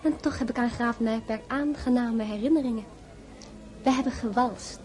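An actress playing a gracious, wistful empress in a radio play, genteel in manner. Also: female voice, fairly high pitch, normal speed, darkish tone, natural voice. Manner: genteel, reminiscing.

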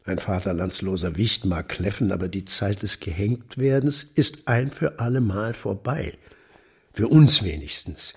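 An actor playing a pompous, self-righteous older German nationalist mayor in a radio play, gravelly and husky, officious and declamatory. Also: male voice, on the low side, measured pace, darkish tone, exaggerated voice. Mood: blustering self-righteousness.

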